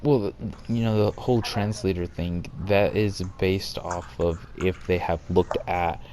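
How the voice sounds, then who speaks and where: A man's voice: sits low, steady tone, a little slow, mellow. A man co-hosting a casual podcast, musing aloud in an easygoing way. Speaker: podcast co-host. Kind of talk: casual group chat. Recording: faint background noise.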